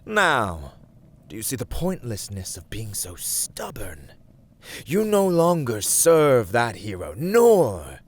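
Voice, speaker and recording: A man playing a smug young villain warlord in an ASMR roleplay, teasing and condescending in formal, deliberate phrases. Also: medium pitch, huge pitch swings, full character voice. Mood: teasing, menacing. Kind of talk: ASMR roleplay, scripted dramatic monologue.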